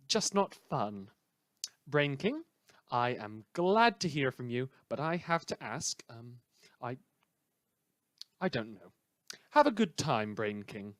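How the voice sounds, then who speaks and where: A man playing a rambling, conflicted late-night radio host in a post-apocalyptic audio drama, with a wry, halting, self-correcting manner. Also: medium pitch, no room sound, natural voice. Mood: wistful, conflicted, then flustered.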